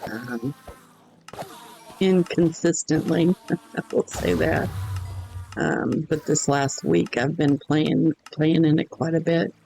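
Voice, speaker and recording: A man streaming a game in casual chat, hoarse with his voice going in and out, rambling slowly with many pauses and ums. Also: a little high, darkish tone, soft background music, low-quality recording.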